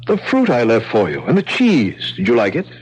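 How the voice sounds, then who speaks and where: A man's voice: mid-range voice, wildly swooping pitch, normal speed, slightly rough. An actor playing a kindly, matter-of-fact male prison guard in a 1970s radio drama, casual and coaxing. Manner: casual, plain-spoken, coaxing. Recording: muffled old radio broadcast.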